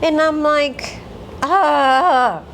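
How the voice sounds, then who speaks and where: A woman with a Spanish accent, a native Spanish speaker, co-hosting a casual podcast chat with animated storytelling and frequent laughs. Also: sits high, very slow, a little hiss or hum. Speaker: podcast co-host.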